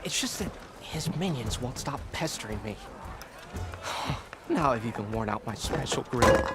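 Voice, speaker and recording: An actor playing a fussy, put-upon but polite camp cook in a video game, with formal, wordy speech. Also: male voice, medium pitch, expressive, a little slow, music in the background, close to an everyday voice. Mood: exasperated. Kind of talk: video game dialogue acting.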